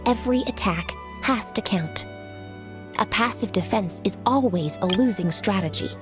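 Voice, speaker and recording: A woman playing a noble commander in a video game, composed and authoritative, with a measured, formal, businesslike delivery. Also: medium pitch, music in the background, natural voice. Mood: assured, resolute.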